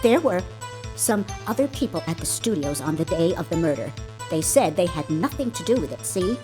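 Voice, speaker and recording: A woman's voice: on the low side, very animated, moderate pace, over music. A woman voicing a cantankerous old female security guard in a courtroom game, scolding and blustering with indignant stammers. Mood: grudging, conspiratorial. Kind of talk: dubbed courtroom comedy game acting.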